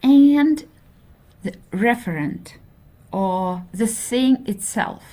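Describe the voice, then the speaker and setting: Slow, hesitant woman lecturing. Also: on the high side, lively intonation, warm tone. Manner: hesitant, many fillers, checks in with okay. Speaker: linguistics lecturer. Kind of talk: introductory university lecture.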